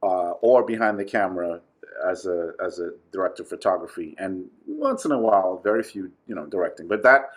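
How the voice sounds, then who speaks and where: A man who grew up in Trinidad speaks in a fairly deep voice. He chats as an interview guest, telling personal stories in a loose, playful way, often repeating himself for emphasis as he thinks aloud. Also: big pitch swings, fairly quick. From Trinidad, with summers in New York. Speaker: podcast guest, actor and director. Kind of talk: casual conversational interview, storytelling.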